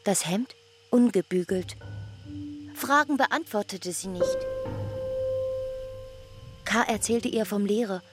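A female narrator reads literary prose slowly at a middling pitch, setting down short, deliberate phrases. Music plays clearly behind her. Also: animated. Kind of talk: literary narration in a radio drama.

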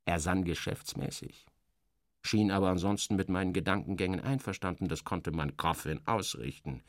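A man giving a theatrical first-person reading of a literary satire, wry and animated, with dramatic pauses. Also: sits low, dry recording, clean studio sound.

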